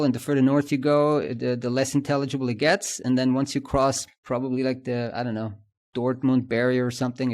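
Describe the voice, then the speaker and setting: A man with a Swiss German accent talks shop in a relaxed podcast conversation. He thinks out loud and often pauses on "uh" and "um" before going on. His voice sits at a mid pitch. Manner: thinks aloud, many fillers and restarts. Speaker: podcast co-host. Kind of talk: casual industry chat.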